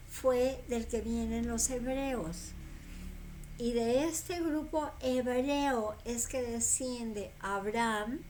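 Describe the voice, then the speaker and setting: An older woman, a guest explaining tradition in a conversation, unhurried and telling it like a story, pausing to correct herself. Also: on the high side, darkish tone, slight background noise, low-quality recording.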